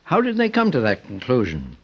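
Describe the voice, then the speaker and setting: An actor playing a composed private investigator in an old radio mystery, courteous, measured and probing. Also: male voice, medium pitch, wildly swooping pitch, husky, heavily acted. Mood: deductive. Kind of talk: old-time radio drama acting. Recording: dull, lo-fi old radio recording.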